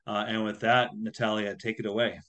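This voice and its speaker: A man in his fifties hosting a show and introducing a guest in a relaxed way from a room at home, over a video call. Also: on the low side, brisk. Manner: relaxed, with "uh" and "you know" fillers. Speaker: podcast host. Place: home room with a ceiling fan. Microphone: video call webcam.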